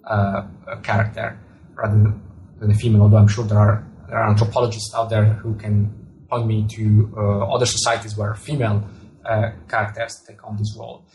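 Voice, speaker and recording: Halting Italian-accented man. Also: on the low side, flat delivery, darkish tone, a little hiss or hum, low-quality recording. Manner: halting, many repeated words and restarts. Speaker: author and astronomer. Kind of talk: interview answers.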